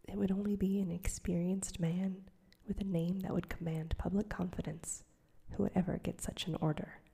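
A woman's voice: on the low side, mellow, exaggerated voice. A woman whispering as a crabbed old editor. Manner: whispered, breathy delivery. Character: crabbed old male newspaper editor, gruff but kindly.

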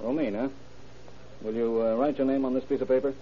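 An actor playing a composed, businesslike male police detective, measured and courteous, in an old-time radio crime drama. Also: medium pitch, steady tone, natural voice. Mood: calm, businesslike. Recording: muffled, noisy vintage radio recording.

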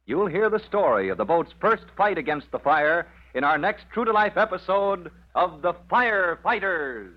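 A man's voice: high-pitched, lively intonation, moderate pace, hardly any pauses, a little hiss or hum. A man announcing and narrating an old radio serial in a dramatic scripted style. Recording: muffled vintage radio recording.

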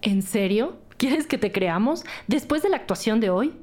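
An actress playing a tough, sceptical police detective, stern and accusing, in a crime audio drama. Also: female voice, fairly high pitch, animated, moderate pace, a little mellow.

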